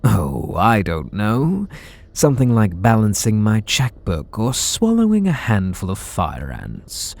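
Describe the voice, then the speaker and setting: Man voicing a supervillain, sarcastic, mocking, low-pitched, slow drawl, close-mic audio drama. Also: huge pitch swings, vocal fry, darkish tone, exaggerated voice. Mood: sneering. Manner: sardonic and mocking. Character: sarcastic, bitter adult male supervillain. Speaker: voice actor. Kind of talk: ASMR roleplay audio drama.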